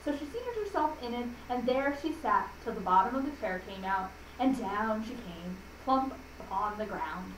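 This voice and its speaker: A woman reading a children's story aloud for a library audience, deliberately and with small stumbles. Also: fairly high pitch, expressive. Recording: echoey room, distant mic, faint noise.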